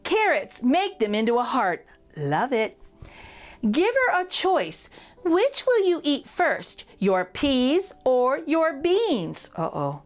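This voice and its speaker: A woman reads a picture book aloud for children in an expressive, playful way, addressing the young listeners directly. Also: high-pitched, slow pace.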